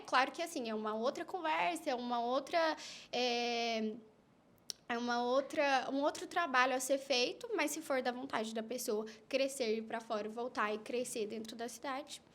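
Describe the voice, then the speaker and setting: Young woman, high bright voice, chatty, animated. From Brazil. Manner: chatty, animated storytelling with quick asides. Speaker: influencer guest. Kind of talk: casual podcast conversation.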